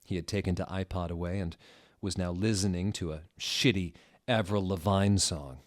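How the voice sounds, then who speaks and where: Male narrator, lowish pitch, mock-serious dramatic reading for comic fiction, deliberate with odd wording. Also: fairly even intonation.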